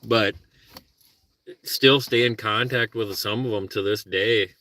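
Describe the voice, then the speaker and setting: A man's voice: on the low side, steady tone, takes it slowly, bright, forceful tone. A middle-aged man from Minnesota with a Minnesota accent, chatting casually and rambling to viewers from his car while car camping. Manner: rambling, self-deprecating, talks to viewers and his dog. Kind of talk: casual live chat.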